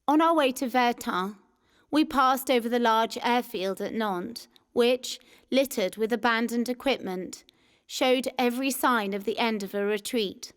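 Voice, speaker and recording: A woman's voice: on the high side, a little slow, clear, resonant tone. A woman reading a scripted first-person wartime memoir as a narrator. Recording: music behind the voice. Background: music.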